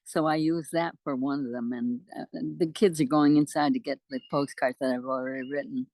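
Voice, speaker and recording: A woman in her nineties from the United States chatting casually as an interview guest, halting and good-humored. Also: a bass voice, steady tone, a little mellow, no room sound. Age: 90s. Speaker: interview guest, amateur postcard poet. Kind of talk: casual chat.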